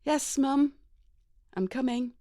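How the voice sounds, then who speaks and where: Exasperated young woman acted by a female reader, anxious and exclamatory, high-strung storybook drama, dry sound. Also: takes it slowly, warm tone, no room sound. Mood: dutiful. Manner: exclamatory, emotional.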